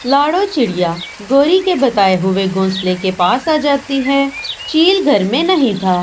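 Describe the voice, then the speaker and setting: A woman narrates a children's cartoon tale from a script. Her voice is somewhat high, with faint noise behind it. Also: very animated, measured pace.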